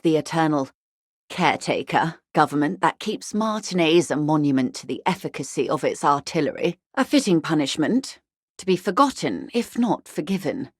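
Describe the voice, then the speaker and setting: An actress playing a refined, middle-aged, wealthy woman in a video game, speaking in a measured, eloquent, world-weary and wry manner. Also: deep voice, no room sound, studio quality, lightly acted. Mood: dry irony. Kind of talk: scripted video game dialogue.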